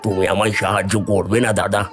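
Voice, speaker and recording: A man's voice: lowish voice, level tone, fast, a bit bright, faint music behind, lightly acted. A man playing a greedy, scheming village brother in a cartoon, with a sly, domineering manner. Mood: sly, wheedling. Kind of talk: cartoon dialogue acting.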